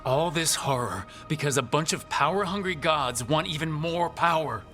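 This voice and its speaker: A man's voice: fairly high pitch, moderate pace, sharp tone, soft background music. A man playing an earnest young hero and party leader in a fantasy game, direct and plain-spoken. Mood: concerned.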